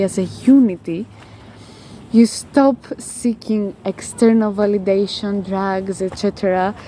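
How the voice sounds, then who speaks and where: A Greek woman with a Greek accent giving a casual motivational coaching talk while walking outdoors, halting and emphatic with drawn-out words. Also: a little high, warm tone, slight background noise, poor audio. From Greece. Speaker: spiritual life coach.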